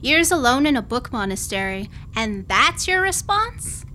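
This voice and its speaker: High-voiced, sardonic space captain. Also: female voice, very animated, measured pace, noisy background. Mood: teasing. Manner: sardonic, blunt, mocking. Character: brash, sarcastic, foul-mouthed female space captain. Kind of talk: audio drama acting.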